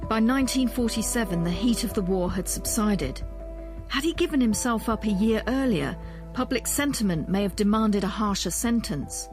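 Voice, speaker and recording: A woman presents a documentary in a measured, questioning and explanatory way. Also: medium pitch, lively intonation, over music. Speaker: presenter, history professor. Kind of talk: documentary narration and interview.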